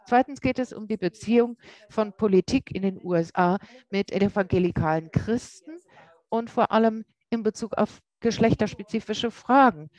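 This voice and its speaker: A woman interpreting a lecture live, speaking haltingly and often correcting herself mid-word. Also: mid-range voice, darkish tone, no echo, rough recording. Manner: halting, with frequent self-corrections. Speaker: simultaneous interpreter.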